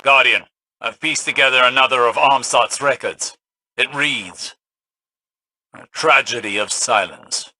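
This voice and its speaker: A man's voice: mid-range voice, unhurried, bright, forceful tone, dry recording, rough recording. A man acting a studious, solemn companion spirit in a video game, speaking precisely and broodingly like an erudite investigator. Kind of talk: dramatic video game dialogue.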